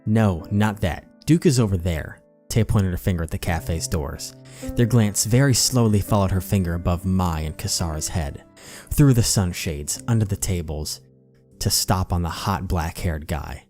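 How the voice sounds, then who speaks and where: A man's voice: deep voice, normal speed, darkish tone. A man reading a story aloud in a theatrical narrating style with music behind him. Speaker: channel host reading fan fiction aloud. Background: background music.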